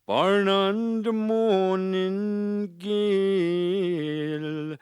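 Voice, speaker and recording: Woman, very slow, pure resonant tone, solemn, lyrical, mournful, dry sound. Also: medium pitch, fairly even intonation, a little mellow, clean tone, no room sound, faint music behind. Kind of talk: slow, lyrical rendition of an old patriotic lament.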